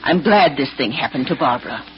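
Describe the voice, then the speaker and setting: A woman's voice: a bass voice, lively intonation, brisk. Haughty older mother-in-law, swooping delivery. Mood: cold disapproval. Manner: haughty, emphatic. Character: stern, bitter mother-in-law doting on her dead son. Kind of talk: 1940s radio acting. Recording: dull vintage radio recording with hiss.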